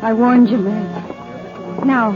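A woman's voice: fairly high pitch, steady tone, extremely slow. A woman playing an anxious, worldly frontier woman in a 1950s radio Western, urgent and plain-spoken. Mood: anxious, pleading. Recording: dull vintage radio recording, music behind.

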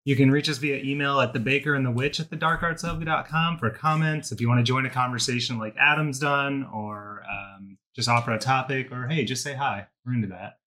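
A man's voice: mid-range voice, a little fast, hardly any pauses, pushed and bright. A man hosting a podcast in a casual, unscripted way, informal and self-mocking. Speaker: podcast host.

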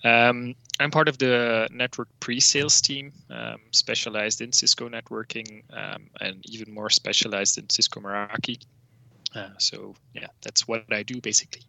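A Belgian man whose first language is Flemish, speaking English with a Flemish accent as a podcast guest, explaining his job in a relaxed way with frequent fillers. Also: medium pitch, moderate pace, vocal fry, low-quality recording. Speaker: guest, network solutions architect. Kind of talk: casual podcast interview.

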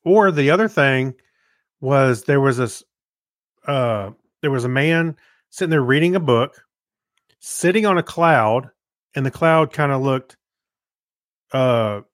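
A male podcast co-host with a medium-pitched voice, recorded close and dry. He talks casually, explaining his points in an easy conversational manner with some amusement. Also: lively intonation, slow pace, long pauses, no echo. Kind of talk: casual chat.

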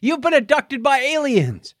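A man's voice: very high-pitched, big pitch swings, unhurried, dry recording, studio quality. An American man co-hosting a podcast, chatting casually in a playful, joking way. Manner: jokey, playful. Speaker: podcast co-host. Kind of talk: casual comedic chat.

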